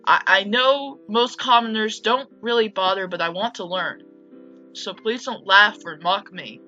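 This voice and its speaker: A woman plays a shy young commoner from a visual novel, flustered and polite. She chatters nervously in a bright voice, with music behind her. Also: mid-range voice, measured pace, dull audio. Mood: nervous pleading.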